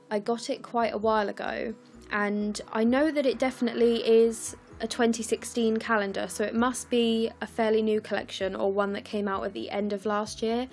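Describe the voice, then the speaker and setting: A young woman from the UK, croaky and scratchy, chatting through her scrapbooking as a casual voice-over, with lots of ums and apologies. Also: mid-range voice. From United Kingdom. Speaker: scrapbooking vlogger. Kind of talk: casual process video voice-over.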